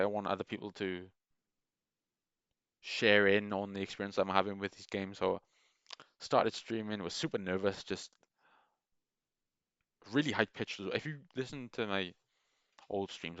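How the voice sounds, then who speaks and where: A man with a very low, smooth and resonant voice talks through a loose, rambling monologue with plenty of enthusiasm and frequent restarts. The sound is dry and a little dull, like a lo-fi recording. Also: fairly bright tone, dry recording. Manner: meandering, enthusiastic, many restarts. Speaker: gaming video creator.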